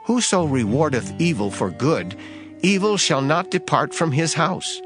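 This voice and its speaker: A man narrating scripture in a dramatized King James style, with solemn, measured, emphatic delivery. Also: on the high side, very animated. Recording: music under the voice. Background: background music.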